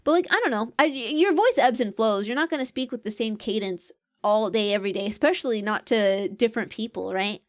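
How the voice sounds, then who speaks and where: A woman streaming, chatting casually and rambling with fillers, letting her pitch swing with her mood. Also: a little fast.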